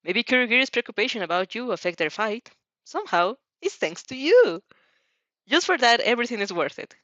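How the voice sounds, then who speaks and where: A woman reading a story aloud in an expressive, amused way, sometimes stumbling and rereading phrases. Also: a little high, a little fast, no echo, muffled recording. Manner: giggly, stumbles over phrases and rereads them. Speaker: fan fiction reader reacting as she reads.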